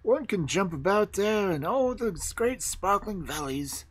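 A storyteller voicing a proud, wistful reindeer from the snowfields, speaking in a measured way in a fairy tale. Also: medium pitch, very animated, pitched above the performer's own voice. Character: wise old-world reindeer from the snowfields.

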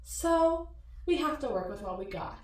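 Echo surrounds a sly mirror-self acted out by a woman in an ASMR roleplay. She coos and taunts in a high, swooping voice, seductive and mocking, stretching out every tease. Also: lively intonation. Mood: coaxing, cutting. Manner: mocking, cooing, drawn-out teasing. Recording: echoey, distant-sounding voice.

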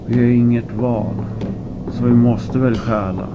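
A man's voice: medium pitch, fairly even intonation, highs cut off, over music, close to an everyday voice. A man voicing a poor, worried peasant husband in an audiobook, resigned and earnest, speaking emphatically.

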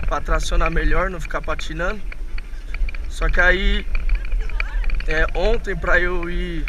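A hoarse man with a Brazilian Portuguese accent talks in a chatty, rambling way, calling his viewers family. His voice sits high for a man. It was recorded outdoors on an action camera on the handlebars, with loud road and wind noise behind him. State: hoarse from a cold. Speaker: vlogger. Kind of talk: casual vlog talk while riding. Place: outdoors on city streets, riding an electric tricycle.

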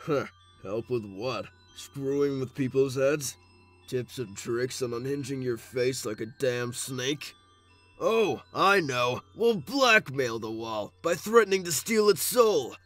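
A man's voice: medium pitch, lively intonation, slow pace, a bit bright. A man voicing a weary, sardonic skeleton in a comic dub, casual, sarcastic and defiant. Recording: faint music behind.

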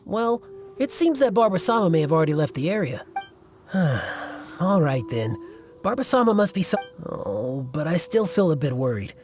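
A man's voice: on the high side, very animated, normal speed. A man voicing a devoted, fretful young fan club leader in a video game, speaking earnestly and dramatically, with some hesitation. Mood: resigned, worried. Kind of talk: video game character dialogue.

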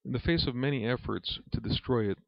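A man reading aloud from a spiritual book as a lesson, in a measured, reverent manner. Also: mid-range voice, vocal fry. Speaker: reader and religious teacher. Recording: dull, lo-fi recording in an echoey room.

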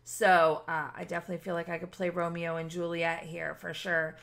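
A woman hosting a gaming video with casual, gushing, expressive commentary aimed at her viewers. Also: fairly low pitch, a little fast, vocal fry.

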